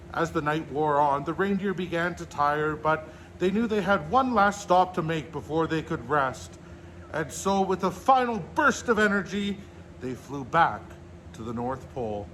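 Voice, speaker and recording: A man with a slightly high voice reads a children's story aloud as the storyteller. His delivery is measured and expressive, and there is noticeable noise behind him. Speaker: storyteller in a Santa persona, host.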